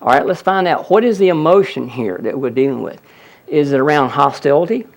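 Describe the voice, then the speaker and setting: A man's voice: a little high, lively intonation, a little fast. A man presenting a healing seminar, explaining a live demonstration to an audience and repeatedly pointing things out. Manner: explanatory, audience-addressing, frequent "look at that".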